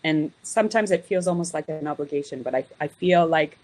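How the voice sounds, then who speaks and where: A woman with a somewhat low voice, speaking on a video call. She thinks aloud, hesitant and searching, with many pauses and restarts. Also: darkish tone, poor audio. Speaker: guest being coached.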